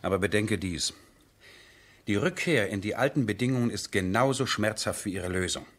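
An actor playing a passionate, headstrong nobleman in love, husky and theatrical, in a 1970s German radio drama. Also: on the low side, lively intonation, moderate pace. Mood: pleading, agitated. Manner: impassioned, theatrical, swinging from hushed to ringing.

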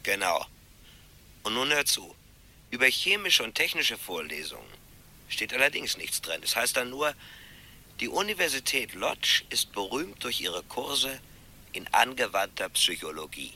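Sharp, triumphant debunker. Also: male voice, mid-range voice, a little slow, a little hiss or hum, heavily acted. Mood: smug, pointed. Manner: animated, pointed. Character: brisk, triumphant man exposing a fraud. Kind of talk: 1970 radio crime drama acting.

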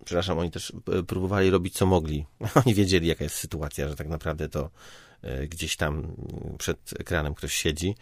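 A man's voice: a bass voice, fairly even intonation, brisk, no room sound, studio quality. A man talking casually as a guest, informal and hesitant, thinking aloud and letting sentences trail off. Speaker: podcast guest, stage comedian and improviser. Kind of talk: casual conversation.

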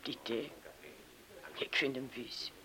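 Radio drama: actor playing cynical young man, medium-pitched, blunt and probing, conversational. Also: steady tone, slow pace, crowd noise, lightly acted. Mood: probing, teasing. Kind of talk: radio play acting.

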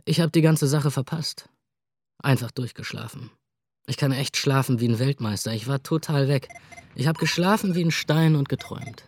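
A man's voice: mid-range voice, dry recording, clean studio sound, lightly acted. A man as a cocky, slangy young guy. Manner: casual slangy monologue. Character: cocky young man, laid-back and boastful.

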